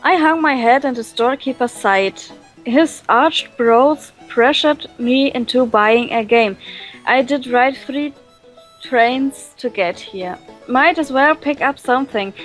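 A woman who is a native German speaker, reading visual novel text aloud and translating and commenting casually, with halting pauses to search for words. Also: high-pitched, poor audio. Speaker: let's play co-host. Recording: music playing behind the voice.

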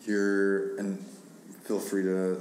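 A very deep-voiced man, the host of a casual interview, talks in loose, rambling sentences full of hedges and fillers. His voice rings in an echoey room over a faint background hiss. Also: completely flat delivery, extremely slow, a little mellow. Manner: rambling, hedging, many "like" fillers.

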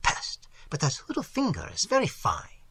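A reader voicing a vain, self-important French artist man with a French accent, lecturing in a husky voice. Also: mid-range voice, huge pitch swings, normal speed, fairly bright tone, no room sound, exaggerated voice. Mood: critiquing.

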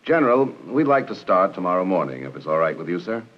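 A man in his forties playing a courteous, methodical army counterintelligence agent, formal and measured, on an old film soundtrack. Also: sits low, very animated, lo-fi sound. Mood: courteous, assured. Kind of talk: 1960s training film acting. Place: small office and meeting room, indoors.

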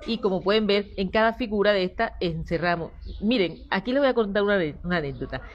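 A middle-aged Colombian woman with a Colombian accent, explaining her art project in conversation, animated but hesitant, often repeating words. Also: mid-range voice, fast, dull audio. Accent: Colombian Spanish. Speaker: artist, interview guest. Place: indoor seating area with a painted wall.

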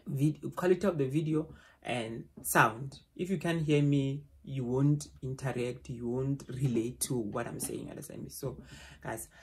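A young South African woman with a loud voice, chatting casually to a phone camera at home as a vlogger, rambling with frequent restarts. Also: rumbling low, a little slow.

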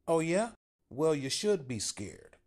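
A man voicing a short, timid old man who is angry and scolding, pushing his voice up high and strained. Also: big pitch swings, takes it slowly, darkish tone, full character voice.

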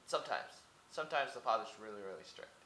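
A man's voice: fairly low pitch, lively intonation, fast talker, sharp tone, echoey room, slight background noise. A young man from Oregon rambling to his webcam in a small room, firing off rhetorical questions and repeating himself. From Oregon, United States. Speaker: vlogger. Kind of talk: casual monologue to camera. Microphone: webcam at close range.

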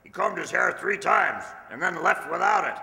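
Older man playing a gravelly, sharp-voiced wisecracking valet. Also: sits high, expressive, moderate pace, exaggerated voice. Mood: chatty, flirtatious. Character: wisecracking male household valet, easygoing and flirtatious. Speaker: amateur radio-play actor. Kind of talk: old-time radio comedy acting. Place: large indoor stage hall, stand mic. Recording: reverberant hall, stage microphone.

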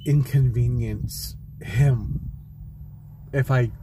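A young man talking through a personal vlog from a parked car, slow, halting and heartfelt, with frequent restarts. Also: mid-range voice, fairly even intonation, noisy background. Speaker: vlogger. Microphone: phone camera close in front.

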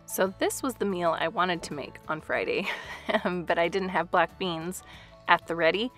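A woman's voice: lowish voice, moderate pace, music in the background. A woman in her thirties chatting casually through a home cooking vlog voice-over, with light laughs. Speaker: family vlogger.

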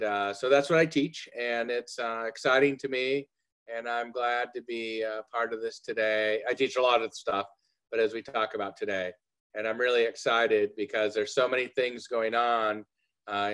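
A man's voice: mid-range voice, fairly bright tone, clear, resonant tone. A middle-aged man, a culinary arts professor, introducing himself eagerly with many fillers on a video call from his living room. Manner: enthusiastic, filler-heavy. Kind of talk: panel self-introduction. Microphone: webcam on video call.

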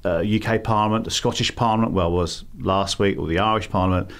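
A man's voice: low-pitched, fairly even intonation, brisk, vocal fry. A middle-aged man with a London accent, answering interview questions with many fillers and restarts. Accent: London English. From England. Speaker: campaign founder, guest.

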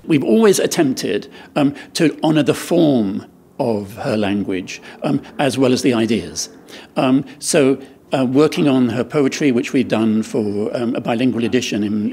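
Halting, thoughtful man of medium pitch. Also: darkish tone, a little hiss or hum. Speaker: translator. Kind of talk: interview explanation.

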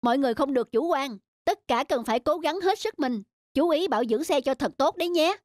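A woman's voice: high-pitched, expressive, a little fast, no room sound, studio quality. A woman voicing young sportsmen of a cycling team in a dubbed cartoon. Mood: encouraging. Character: young male athletes and coach on cycling team.

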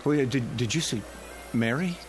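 An actor playing a worried, bewildered young astronaut in a radio drama, with a hesitant manner. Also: male voice, medium pitch, expressive, normal speed, natural voice. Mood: dazed, bewildered. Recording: loud classical music over the voice.

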